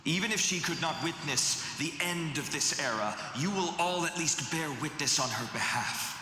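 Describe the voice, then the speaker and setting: A man's voice: on the high side, animated, normal speed, sharp tone, slight background noise. An actor playing a courteous, steadfast young swordsman hero in a fantasy game, speaking with polite, formal assurance. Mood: respectful, determined. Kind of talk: scripted fantasy game acting. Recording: echoey hall reverb, music behind.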